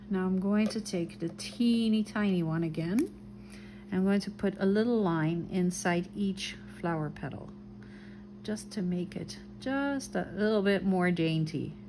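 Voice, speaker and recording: A woman with a light Dutch accent, calmly talking through each step as she draws and colors a mandala. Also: medium pitch, a little slow, slight background noise. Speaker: craft video host. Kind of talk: relaxed craft tutorial narration.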